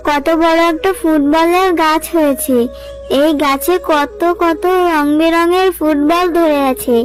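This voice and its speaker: A woman's voice: high voice, steady tone, slow, faint music behind, poor audio. A woman voicing a sweet, pleading little fox girl in a cartoon, with a childlike, coaxing manner. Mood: excited. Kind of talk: cartoon dubbing.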